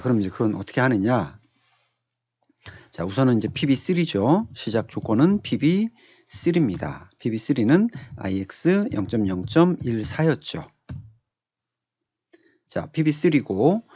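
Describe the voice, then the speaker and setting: A man giving an unscripted software tutorial as an instructor, explaining step by step and asking rhetorical questions. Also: mid-range voice, dry recording, lo-fi sound. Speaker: automation instructor. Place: dry-sounding voice-over on a screen recording.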